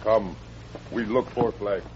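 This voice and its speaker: An actor playing a stern, suspicious chief, the villain of an old radio western, speaking in terse broken English. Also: male voice, fairly low pitch, slow pace, crowd noise. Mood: commanding. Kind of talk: 1940s radio adventure acting. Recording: muffled old radio recording, faint noise.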